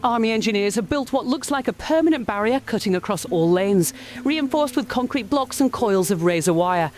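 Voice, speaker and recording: A woman's voice: a little high, expressive, normal speed, darkish tone, close to an everyday voice. A woman playing an urgent field correspondent in an audio drama, intense and formal. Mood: urgent, shaken. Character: urgent female field correspondent at a military checkpoint. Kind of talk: scripted on-scene news report.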